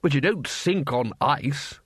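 A man's voice: medium pitch, lively intonation, slow, slightly rough, dry recording, studio quality. A man voicing an older male wizard lecturer who speaks curtly and matter-of-factly.

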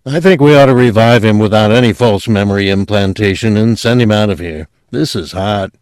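A man's voice: on the low side, fairly quick, a little mellow, clean tone, no echo, studio quality, close to an everyday voice. A man voicing a worried but decisive male technician in an audiobook, reasoning urgently.

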